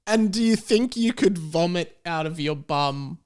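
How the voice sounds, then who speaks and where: A man with a very animated delivery banters on a comedy podcast. He talks casually and jokily, argues silly points as if in earnest, and giggles easily. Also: fairly high pitch. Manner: jokey mock-earnest reasoning, quick to giggle. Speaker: podcast co-host commenting on a story.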